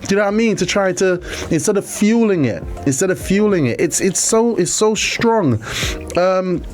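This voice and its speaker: A man's voice: high-pitched, expressive, normal speed, a little mellow, soft background music. Rambling man reading viewer comments. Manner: rambling, repeats words, talks to viewers. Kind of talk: casual faith-based relationship talk.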